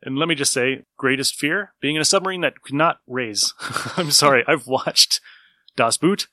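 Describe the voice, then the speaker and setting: A bright, punchy male voice recorded very dry. He hosts a casual podcast chat in an animated, conversational way, with a medium pitch. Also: moderate pace, dry recording. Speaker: podcast host.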